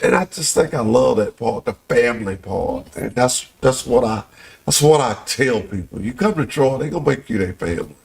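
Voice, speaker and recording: A middle-aged man from Pensacola hosts a small-town talk show, chatting in a folksy, storytelling way with plenty of Southern turns of phrase. His voice has a gravelly edge at a mid pitch. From Pensacola, Florida. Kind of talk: casual community talk show chat.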